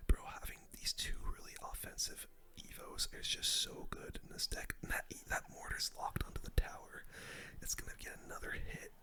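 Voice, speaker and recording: A person whispering casual, slangy play-by-play as an ASMR gaming host, in a breathy unvoiced whisper close to the microphone. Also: extremely high pitch, bright, forceful tone. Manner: casual slangy play-by-play, muttering through moves.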